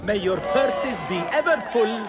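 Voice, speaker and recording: A man's voice: high-pitched, lively intonation, moderate pace. An actor playing a flamboyant auctioneer, showy and coaxing as he works a crowd of bidders in a video game. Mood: gracious, grandiose. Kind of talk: theatrical auction patter. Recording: music and crowd murmur behind.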